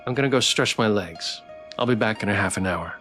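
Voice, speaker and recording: A man live-streaming a story game, switching between casual, playful, halting chat with viewers and reading narration aloud. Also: on the low side, a bit bright. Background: background music.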